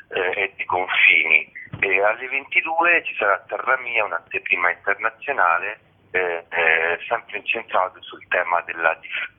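Male interview guest, low register, hesitant delivery full of searching pauses, heard over a tinny telephone line. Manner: halting, searching for words, frequent hesitations. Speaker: interview guest, festival curator. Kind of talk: radio phone interview. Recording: tinny, narrow phone line.

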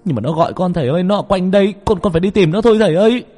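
A man's voice: sits high, animated, very fast, dark tone, long reverb tail, faint music behind, voice pushed up. A man voicing a frightened, loyal young man lost in a forest, with a stammering, frantic delivery in a horror story. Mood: desperate pleading.

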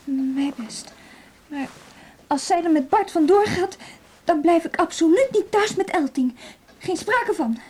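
An actress playing an anxious, talkative teenage girl in a radio drama, confiding in halting, rambling speech. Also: very high-pitched, lively intonation, a little mellow. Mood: indignant. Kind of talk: radio drama acting, naturalistic dialogue.

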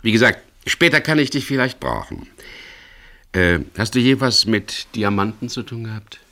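An actor playing a wry, guarded boat skipper in a 1970s German radio crime drama, speaking in a measured, dry way with hesitant pauses. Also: male voice, on the low side, animated, pushed and bright. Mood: probing, dry.

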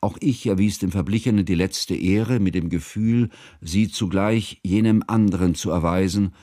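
Low-voiced scholarly narrator. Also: male voice, warm tone, no echo, clean studio sound. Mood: solemn, concerned. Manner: formal, measured, solemn. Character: earnest, scholarly male narrator, devoted old friend. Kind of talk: scripted literary narration in radio drama.

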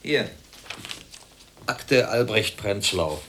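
A man's voice: lowish voice, animated, extremely slow, noisy background. An actor playing a scornful, coarse male East German security officer in a radio play. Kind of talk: radio drama dialogue.

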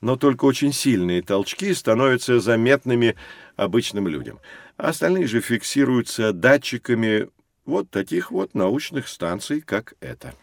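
An actor playing a patient, learned science teacher who explains things to children in a measured, didactic way for an educational audio drama. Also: male voice, medium pitch, expressive, vocal fry, no echo, clean studio sound. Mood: patient, instructive.

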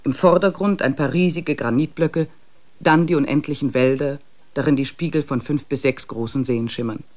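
A man playing a traveler who narrates his diary in a measured, reflective way in a radio play. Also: medium pitch, fairly even intonation, lightly acted.

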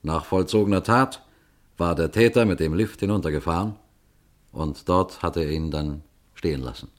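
An actor gives us a calm older police detective telling a puzzle case in a radio play. His voice sits very low, and he speaks to the listeners in a measured, unhurried way. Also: lightly acted. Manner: measured, addresses listeners directly. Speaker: detective narrator.

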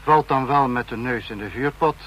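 An actor playing a cold, calculating male security chief in a vintage radio drama, speaking in a measured, commanding way. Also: medium pitch, a bit bright, slight background noise, lightly acted, rough recording. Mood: cold, brooding. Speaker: spymaster in a radio play.

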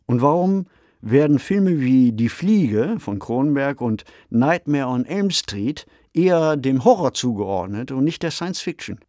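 Man, podcast co-host, reflective and articulate, conversational, mid-pitched, close dry recording. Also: very animated, unhurried, warm tone, dry recording. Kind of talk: conversational discussion of radio drama.

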